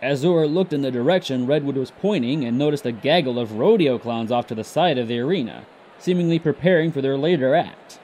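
Mellow male story narrator. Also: mid-range voice, fairly quick, a little hiss or hum.

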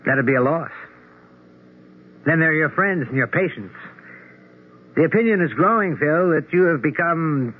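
An actor playing a skeptical, concerned friend, measured and blunt, in a 1970s radio drama. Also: male voice, a little high, lively intonation, phone-quality sound, natural voice. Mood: grave, concerned. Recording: thin, hissy 1970s radio recording.

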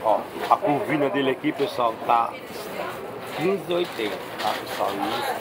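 A man's voice: mid-range voice, expressive, moderate pace, heavy background noise. A man with a cold vlogging as he walks an outdoor street market, chatting casually with stallholders and addressing his viewers. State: has a cold. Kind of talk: casual walk-around vlog chat. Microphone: handheld phone. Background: busy market crowd.

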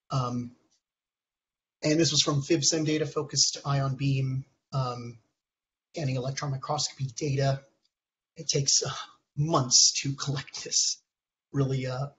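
Hoarse man giving a technical talk. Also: medium pitch, fairly bright tone, slight background noise. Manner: halting, many ums and restarts. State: hoarse from a cold. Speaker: presenter. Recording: dull lo-fi call audio.

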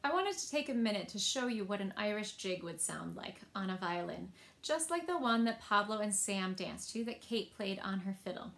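A woman's voice: mid-range voice, expressive, normal speed, creaky, hardly any pauses, a bit bright. A woman in her thirties presenting a children's story time and explaining things simply, recorded in a room at home a short way from the mic. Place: small indoor room at home.

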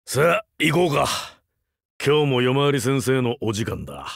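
A male actor playing a rugged older vampire hunter turned teacher in a game, wry, laconic and gruffly fatherly. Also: medium pitch, very animated, a little slow, dry recording, clean studio sound. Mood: gently weary. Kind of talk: Japanese mobile game character voice lines.

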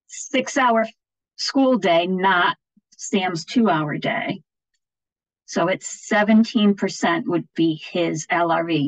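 A woman's voice: on the low side, no echo, low-quality recording. A woman presenting an informal training webinar in a plain-spoken, explanatory way, often checking in with listeners. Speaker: training presenter for education officials. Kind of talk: informal webinar instruction with slides. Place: online video-call webinar.

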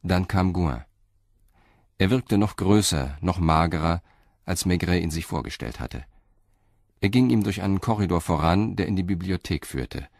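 Male narrator, very low creaky voice, measured literary storytelling, crime radio play. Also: darkish tone, no room sound, clean studio sound. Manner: measured, articulate.

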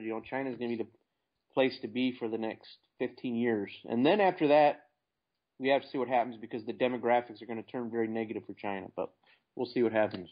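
An American man talking casually and plainly as the guest in an investing interview. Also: mid-range voice. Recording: dull lo-fi internet call.

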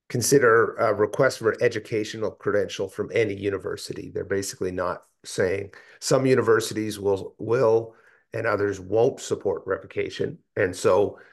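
A man presenting in a technical working group call, explaining in a halting, thinking-aloud way. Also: lowish voice, gravelly. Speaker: meeting presenter. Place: indoor room, video call.

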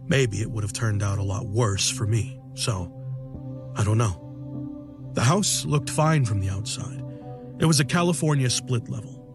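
A man's voice: medium pitch, close to an everyday voice. An actor playing a breezy, self-satisfied male realtor, telling his story conversationally in a first-person horror audio drama. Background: music.

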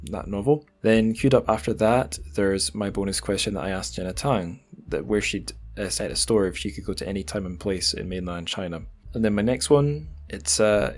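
A man hosting a podcast, talking casually in a conversational, self-deprecating way. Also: lowish voice. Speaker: podcast host.

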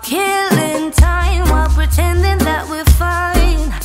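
A woman's voice: very high voice, fairly even intonation, slow. A woman singing pop song vocals. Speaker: singer. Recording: produced song with loud backing music.

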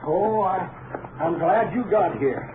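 An actor playing a folksy, plain-spoken sheriff in a 1950s radio western. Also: male voice, high voice, expressive, measured pace. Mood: eager, satisfied. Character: folksy, easily convinced male western sheriff. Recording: noisy, muffled 1950s radio recording.

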